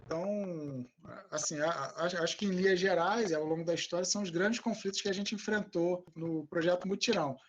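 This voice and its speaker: A man from Rio, answering informally and a little haltingly as an invited expert on an academic panel. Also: sits high, steady tone.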